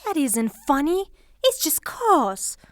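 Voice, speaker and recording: A woman's voice: sits high, very animated, slow pace, no echo, clean studio sound, exaggerated voice. A woman acting in a theatrical audio drama as a proud, prim widow, formal and reproving. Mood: scornful. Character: proud, prim widowed lady, indignant and dignified.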